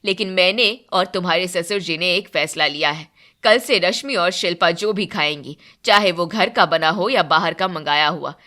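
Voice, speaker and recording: A stern middle-aged matriarch from a domestic story, put on by a woman narrator. The voice is pulled down lower, with a bright edge. She pronounces her decisions firmly and with authority. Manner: firm, commanding. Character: stern, resolute middle-aged mother-in-law.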